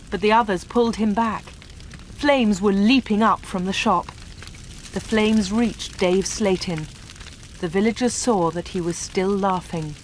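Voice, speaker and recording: A woman reading a story aloud for English learners, in a clear, measured way. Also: mid-range voice, slight background noise. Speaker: audiobook narrator.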